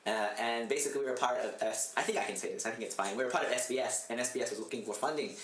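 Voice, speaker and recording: A man, a dancer and guest in a casual chat, telling an anecdote quickly with laughs. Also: mid-range voice. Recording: echoey room with loud noise.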